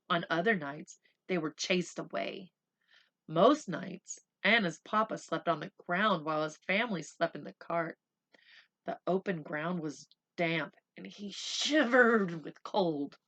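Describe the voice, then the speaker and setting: A woman reading a storybook aloud for children, with expressive, deliberate phrasing. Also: lowish voice. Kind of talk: read-aloud storytelling with simple asides.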